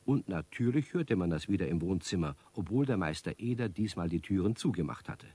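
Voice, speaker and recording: A man narrating a children's radio play as a wry, knowing storyteller with a husky voice and measured delivery. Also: deep voice, lively intonation, warm tone, dry recording.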